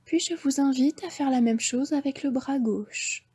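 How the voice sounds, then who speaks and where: A woman with a French accent guiding a yoga nidra relaxation, giving slow, soft, half-whispered breathing cues. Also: high voice. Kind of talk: guided relaxation instruction.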